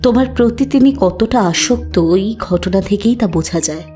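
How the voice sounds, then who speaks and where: An actress playing a reassuring, approving middle-aged mother, calm and persuasive, in an audio drama. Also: medium pitch, normal speed, soft background music, close to an everyday voice. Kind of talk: Bengali audio drama acting.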